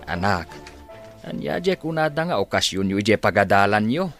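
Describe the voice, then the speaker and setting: An actor with a Filipino accent playing a gentle, proud, doting father in a radio drama. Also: lowish voice, sing-song, moderate pace, loud music behind the voice. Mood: tender. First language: Ilocano.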